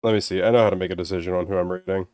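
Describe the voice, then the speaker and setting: A young man with a deeper voice winds down a stream with relaxed, rambling chatter. His low voice is smooth and clean but tired and strained. The sound is close and dry, with no room in it. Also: racing, no room sound. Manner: relaxed, rambling, self-deprecating. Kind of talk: casual end-of-stream chat with viewers.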